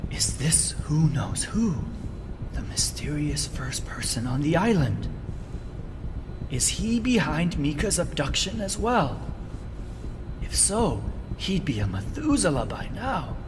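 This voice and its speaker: An earnest strategist from a video game cutscene. His voice sits a little high and moves expressively, with measured, deliberate phrasing. It rings in a long reverb over clear background noise. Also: big pitch swings. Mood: pensive. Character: earnest man, wounded team strategist recounting island legends.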